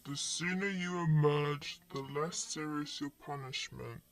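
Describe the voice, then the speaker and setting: A performer voicing a robotic security drone that gives cold, clipped commands. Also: female voice, sits low, measured pace. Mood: commanding. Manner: cold, clipped machine announcements.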